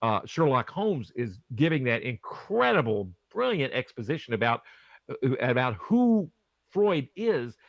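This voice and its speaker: Eager co-host man, mid-pitched, tumbling restarts, dry sound. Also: big pitch swings, a little slow, creaky, no room sound, dull audio. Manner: enthusiastic, repeating and restarting words. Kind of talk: casual chat.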